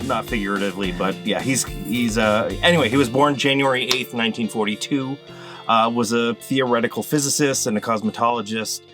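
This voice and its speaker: A man's voice: mid-range voice, brisk, over music. Rambling, self-mocking man. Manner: rambling, self-mocking, laughs at own slips. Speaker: quiz presenter on a comedy game podcast. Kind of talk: casual comedic chat.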